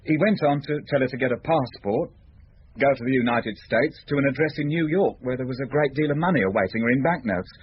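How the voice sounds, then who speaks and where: An actor playing a shady bartender in a radio drama, husky and uneasy, explaining himself plainly and defensively. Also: male voice, medium pitch, fairly quick, slight background noise, lightly acted. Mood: subdued. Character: shady, uneasy male bartender confessing as accomplice. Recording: muffled old radio broadcast.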